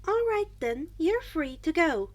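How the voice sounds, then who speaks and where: A woman plays a male doctor in a story reading, in a high, lifted voice. The doctor is matter-of-fact and reassuring, giving instructions in a helpful clinical way. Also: very animated, unhurried, full character voice. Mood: friendly, upbeat.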